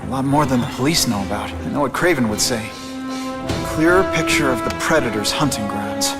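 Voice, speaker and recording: An actor playing a young superhero in a video game, talking to himself in quick, joking quips. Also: male voice, medium pitch, over loud music, lightly acted. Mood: wry. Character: wisecracking young male superhero. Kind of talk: video game cutscene dialogue.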